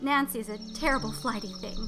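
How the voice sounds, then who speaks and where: Actress as chatty bustling housewife, high voice, effusive, exclamatory, storybook drama, music behind. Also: female voice, a little fast, lightly acted. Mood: flustered, apologetic. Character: fussy, bustling, chatty small-town housewife and mother. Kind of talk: dramatized audiobook acting.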